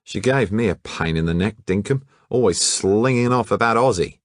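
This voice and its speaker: A man's voice: lowish voice, normal speed, no echo, studio quality, voice pushed up, close to an everyday voice. A man voicing a brash young male Australian art student with broad colloquial slang and an Australian accent. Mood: eager.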